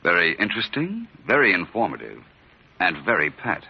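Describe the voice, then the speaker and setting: Suave wry detective, low husky voice, urbane, old radio drama, dull recording. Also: male voice, huge pitch swings, normal speed, full character voice. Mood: wry, probing. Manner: dry, urbane, wry delivery. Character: suave witty male private detective, wry and unflappable.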